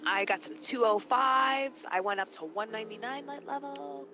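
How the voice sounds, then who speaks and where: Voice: high-pitched, moderate pace, vocal fry, music in the background. A player chatting casually with friends over game voice chat.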